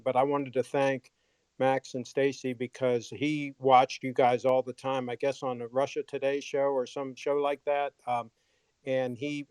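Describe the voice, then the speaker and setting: A man's voice: medium pitch, darkish tone, clean tone, no echo. A man calling in to a live audio chat, speaking earnestly and steadily with gratitude. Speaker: audience caller.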